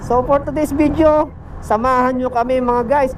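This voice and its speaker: A man's voice: extremely high pitch, steady tone, moderate pace, a little hiss or hum, rough recording. A Filipino man hosting a casual vlog, chatting and giving simple how-to instructions. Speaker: vlog host. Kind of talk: casual vlog chat and tutorial.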